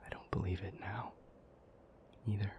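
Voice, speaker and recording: Low, whispery, brooding male demon voiced by a man. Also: flat delivery, muffled recording, close to an everyday voice. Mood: brooding, doubtful. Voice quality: breathy, half-whispered. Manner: slow, deliberate, halting phrases. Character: troubled, powerful male demon confiding gravely in a lover. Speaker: audio roleplay performer. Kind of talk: intimate audio roleplay acting.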